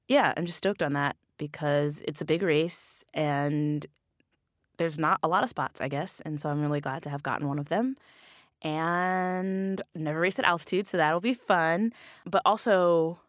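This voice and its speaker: A young woman, a bike racer, talking casually as a guest in an interview, naturally loud and laughing often. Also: deep voice, dry recording, studio quality.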